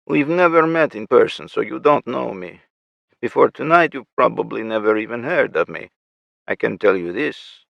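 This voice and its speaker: A man voicing a gloating male villain with a Russian accent, taunting and mocking in a slow, drawn-out way. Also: mid-range voice, animated, vocal fry, no echo, voice pushed up. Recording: muffled lo-fi recording.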